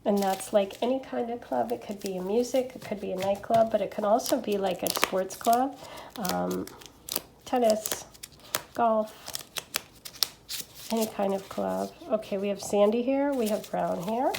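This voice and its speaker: A woman with a stuffy nose from allergies, chatting gently through a tarot reading with lots of fillers and little checks. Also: medium pitch, measured pace, gravelly, background noise. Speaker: tarot reader. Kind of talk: casual pick-a-card tarot reading.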